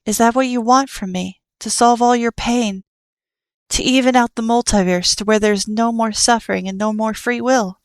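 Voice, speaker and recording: A calm, sorrowful child who sees all, as a woman storyteller puts it on, sounding a little higher than her own voice. Soft and earnest, with a patient weight behind the words. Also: moderate pace, no echo, studio quality, natural voice.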